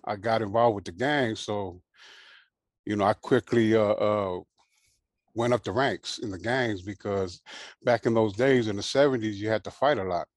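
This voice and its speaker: A man in his sixties from Hawaii, raised in Southern California, telling his life story as a guest in a relaxed way, full of fillers. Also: fairly low pitch, no echo, studio quality. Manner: relaxed, unhurried, many fillers and "you know". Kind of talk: casual interview storytelling.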